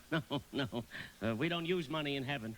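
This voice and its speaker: A man's voice: mid-range voice, a little fast, fairly bright tone, a little hiss or hum, natural voice, poor audio. A man playing an eager, naive, fussy apprentice guardian angel in a live radio play, speaking earnestly and politely with some hesitation.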